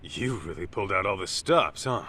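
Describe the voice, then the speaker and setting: A man's voice: low-pitched, animated, normal speed, sharp tone, a little hiss or hum. An actor playing a blunt, street-smart male detective who questions people casually and skeptically in a video game drama. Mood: wry, probing. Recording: music behind the voice.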